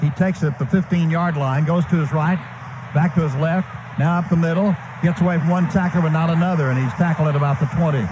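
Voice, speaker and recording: High-pitched American man calling football on radio. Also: fairly even intonation, quick pace. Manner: vivid, running description in short bursts. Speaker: play-by-play radio announcer. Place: stadium broadcast booth. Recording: dull, noisy archived radio broadcast.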